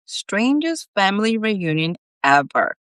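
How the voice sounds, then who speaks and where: A woman voicing a gentle, awkward male army veteran in a storybook, coaxing and upbeat. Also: medium pitch, sing-song, unhurried, smooth, no echo, pitched above the performer's own voice. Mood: cheering.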